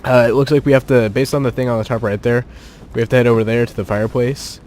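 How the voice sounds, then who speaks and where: A man's voice: medium pitch, steady tone, quick pace, a little mellow, a little hiss or hum. Chatty man narrating his gameplay. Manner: chatty, laughs often, thinks aloud. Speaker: gaming channel host. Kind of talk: casual gameplay commentary. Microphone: home desk mic without pop filter.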